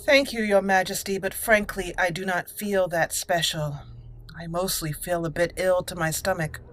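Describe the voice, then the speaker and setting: A woman's voice: fairly low pitch, fairly even intonation, moderate pace, lightly acted, poor audio. A woman voicing a polite, frank young elf mage with formal wording, roleplaying in a video game. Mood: modest, uneasy. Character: modest, self-assured young female elf mage.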